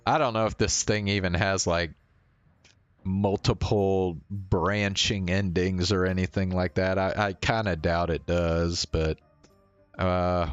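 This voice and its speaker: A man's voice: low-pitched, smooth, no echo, dull audio. A man, a streamer reading a visual novel aloud with casual commentary.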